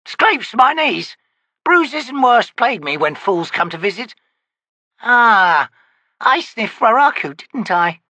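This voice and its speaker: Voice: mid-range voice, sing-song, sharp tone, no room sound, pitched above the performer's own voice, full character voice. A narrator voicing an ancient, cackling, toothless old woman who hisses and shrieks her cryptic answers. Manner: hissing, shrieking, slow cryptic pronouncements.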